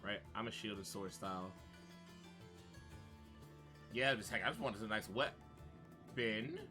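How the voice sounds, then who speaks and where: A man's voice: lowish voice, takes it slowly, bright, forceful tone, faint music behind. A man giving casual, slangy live commentary with wry reactions while streaming a video game.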